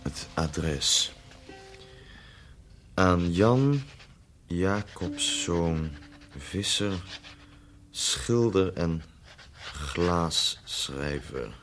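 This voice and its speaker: An actor playing a brooding young poet in a radio play, speaking softly and singing verse to guitar. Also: male voice, expressive, very slow, long pauses, slight background noise, heavily acted. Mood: musing. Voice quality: soft, low-spoken when writing, sings verse to guitar. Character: poet Bredero, man, young adult, brooding, wry. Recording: music playing behind voice. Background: guitar music.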